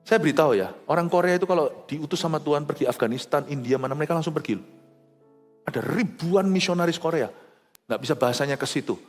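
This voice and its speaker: A male pastor preaching a sermon with fervour, swinging from loud exclamations to low quiet asides and mixing English words into his talk. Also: quick pace. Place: large rooftop church venue. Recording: live hall reverb with faint music.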